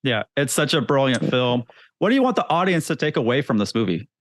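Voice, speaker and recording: Friendly male host, fan-enthusiastic, mid pitch, dry close sound. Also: very animated, a little fast, no room sound. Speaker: interview host. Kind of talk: casual interview chat.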